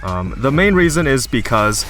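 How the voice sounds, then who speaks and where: Young man, slightly hoarse, lively bright delivery, casual gameplay commentary over faint game music. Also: medium pitch, normal speed. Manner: casual, chatty, explanatory. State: slightly hoarse from recent illness. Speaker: gaming YouTuber.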